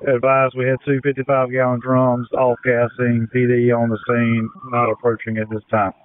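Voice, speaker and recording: A man's voice: medium pitch, monotone, moderate pace, clear, resonant tone. A man, a fire officer, exchanging terse instructions and updates on a two-way radio. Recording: noisy, tinny two-way radio.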